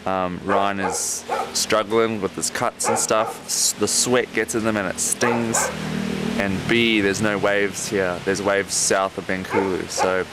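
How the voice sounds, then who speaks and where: Man, lowish voice, casual roadside travel update, loose and unscripted, noisy outdoors. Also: unhurried. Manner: relaxed, many ums, thinks aloud. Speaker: travelling cyclist and surfer, co-host. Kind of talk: casual travel vlog to camera. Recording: loud outdoor ambience behind.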